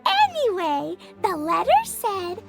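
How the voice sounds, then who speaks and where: A woman voicing an excitable little girl in a video game cutscene, eager and chattering, with game music behind. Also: very high voice, slow pace, creaky, heavily acted. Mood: cheerful.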